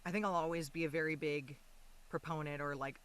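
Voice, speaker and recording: A woman's voice: low voice, fairly even intonation, brisk, clear, resonant tone. A woman speaking as a podcast guest in casual, informal conversation, often interrupting herself as she thinks aloud.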